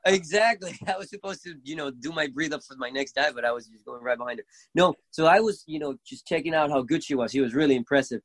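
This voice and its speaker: Excitable Venezuelan-accented man, dry sound. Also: fairly high pitch, fast talker, no echo, poor audio. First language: Spanish. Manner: excitable storyteller, swears freely. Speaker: guest. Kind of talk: casual chat.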